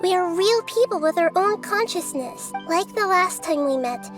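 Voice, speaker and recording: A woman voicing a small, composed, thoughtful goddess in a video game, soft and youthful, explaining in a measured, earnest way. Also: extremely high pitch, lively intonation. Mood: grave, gentle. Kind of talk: game voice acting. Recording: music playing behind the voice.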